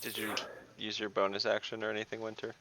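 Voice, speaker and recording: A man's voice: fairly low pitch, completely flat delivery, quick pace, pushed and bright. A man chatting casually as a tabletop game player, explaining rules. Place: indoors, online voice call.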